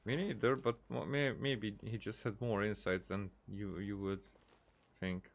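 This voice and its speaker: A man commenting casually on a game he is playing, thinking aloud in halting, restarting sentences. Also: fairly low pitch, fairly even intonation, gravelly. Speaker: streamer. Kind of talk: let's play commentary.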